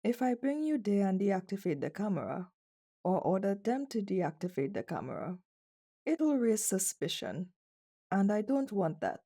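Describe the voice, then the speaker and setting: A woman's voice: medium pitch, very animated, moderate pace, dark tone. A woman playing a cold, dominant female CEO with a stern, condescending and flirtatious manner in an ASMR roleplay. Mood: curt, commanding.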